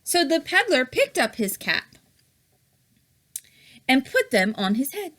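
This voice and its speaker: A woman educator reading a picture book aloud to young children, with deliberate phrasing and dramatic emphasis on repeated lines. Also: sits high, wildly swooping pitch, pushed and bright. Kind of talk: expressive storybook read-aloud for young children.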